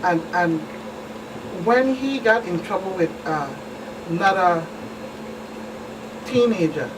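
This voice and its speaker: A woman recounting cases conversationally as a guest expert, in a halting, deliberate way. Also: fairly low pitch, expressive. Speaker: police commander, guest expert. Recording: distant mic, clear background noise.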